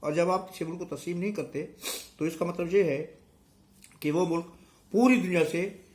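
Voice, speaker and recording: Higher-pitched Pakistani man, sore throat. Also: steady tone, normal speed, darkish tone. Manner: emphatic, rhetorical questions and repetition. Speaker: political commentary vlogger. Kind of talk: unscripted political commentary addressed to viewers.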